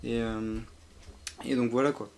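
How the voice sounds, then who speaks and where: A man's voice: mid-range voice, flat delivery, unhurried, gravelly, a little mellow. A young man co-hosting a casual live stream, hesitant and rambling as he thinks aloud. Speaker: streamer, co-host.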